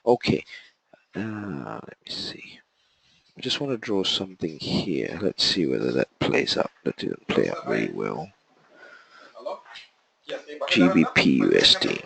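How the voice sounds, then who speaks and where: Low-voiced man with a sore throat. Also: very animated, measured pace, gravelly, long pauses, a bit bright, slightly rough, rough recording. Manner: explains step by step, fills with okay and uh. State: sore throat, voice not clear or loud. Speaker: trading analyst presenting a live webinar. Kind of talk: live market analysis walkthrough.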